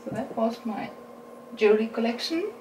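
A woman's voice: fairly high pitch, far from the mic. A woman in her forties from Norway, speaking English with a Norwegian accent, chatting casually through a makeup haul and correcting herself as she goes. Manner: unhurried, chatty, self-correcting, laughs at her own English. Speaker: beauty vlogger. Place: small room indoors. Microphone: camera mic at a distance. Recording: roomy sound with a steady background hiss.

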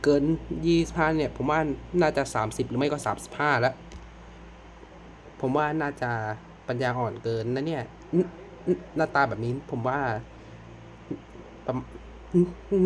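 A man's voice: on the high side, normal speed, slight background noise. A man giving casual, exclamatory reaction commentary to horror clips, swearing and muttering asides to viewers. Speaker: reaction video host.

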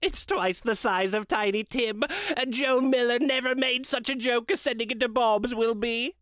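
A storybook reader voicing an excitable, delighted old gentleman, hearty and exclamatory, stammering with eagerness. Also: medium pitch, moderate pace, no room sound, clean studio sound, pitched above the performer's own voice, lightly acted. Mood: gleeful. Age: older. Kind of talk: dramatic storybook character reading.

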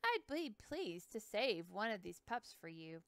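A woman's voice: medium pitch, big pitch swings, unhurried, a bit bright, clear, resonant tone, dry recording, studio quality. A woman voicing a plainspoken, proud male river dog breeder with a folksy way of talking. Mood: friendly.

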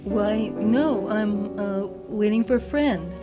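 A woman's voice: medium pitch, slow pace, close to an everyday voice. A woman playing a curt, dismissive bar customer in a satirical radio sketch. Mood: irritated. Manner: dismissive, snippy. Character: curt, prickly woman drinking alone in a bar. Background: music.

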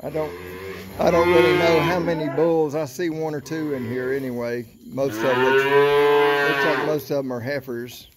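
A man's voice: on the high side, level tone, over a noisy crowd. A middle-aged man from Florida, walking through an open barn at night with a phone camera, talking casually and counting calves aloud. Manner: slow, unhurried country talk with fillers, counting animals aloud. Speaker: cattle seller filming his barn. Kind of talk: casual walkthrough vlog. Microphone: handheld phone camera. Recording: noisy outdoor barn phone recording.

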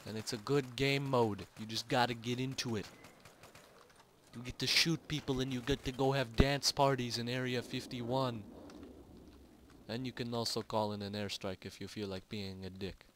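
A young man casually commentating on a shooter game, joking and getting loud when excited. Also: mid-range voice, normal speed, background noise. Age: young adult. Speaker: gaming channel host. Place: home, recorded late at night.

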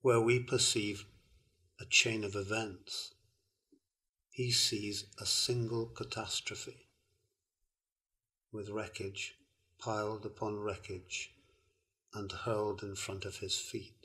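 An older man in a film, reciting a philosophical text slowly and solemnly. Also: fairly low pitch. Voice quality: slow, measured, flat delivery. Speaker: reader in the artist's film. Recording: soundtrack of a film played back.

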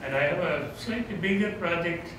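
An older man in his sixties with a Tamil accent, a retiring theology professor giving a reflective, gently self-deprecating farewell talk in a seminar room. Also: on the high side, normal speed. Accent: Tamil-accented English. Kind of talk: informal farewell lecture with Q&A. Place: seminar room, indoors, medium-sized. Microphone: far camera. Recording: echoey room from far off, background noise.

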